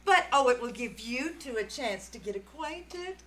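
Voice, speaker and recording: A fussy, matchmaking hostess mother played by a woman with a high, bright voice. She gushes and bosses with put-on innocence, in old radio comedy style. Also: lively intonation, normal speed, a little hiss or hum. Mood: sweetly bossy. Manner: gushing, bossy, artificially innocent. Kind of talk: 1940s radio comedy acting. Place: live community theater stage.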